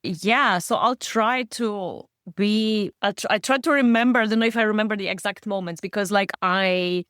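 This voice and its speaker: Woman, native Slovenian speaker, interview guest, thoughtful, halting restarts, mid-pitched, dry close sound. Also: fairly bright tone, smooth, dry recording. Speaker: guest author and book coach. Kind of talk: podcast interview conversation.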